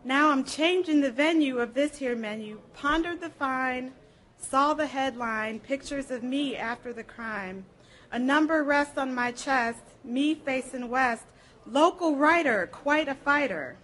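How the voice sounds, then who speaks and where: A woman with a high voice performs a literary reading aloud. Her pace is unhurried, and she leans into the rhythm of the words with theatrical expression. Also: a bit bright. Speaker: reader at a public library program. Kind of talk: expressive live reading of poetry and memoir.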